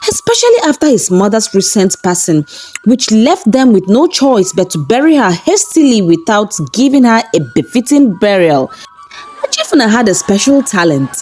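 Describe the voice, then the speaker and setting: A woman narrating a scripted folktale in a dramatic, expressive storytelling manner. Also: a little high. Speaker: storyteller, channel host. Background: background music.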